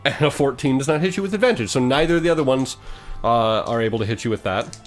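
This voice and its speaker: A man's voice: medium pitch, expressive, fast. Male game master with music behind. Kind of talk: improvised narration of a tabletop game.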